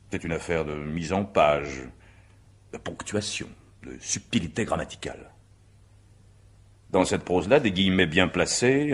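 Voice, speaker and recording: An actor playing a courteous, methodical examining magistrate in a radio mystery drama, speaking in formal, measured, legalistic phrases. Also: male voice, sits low, husky.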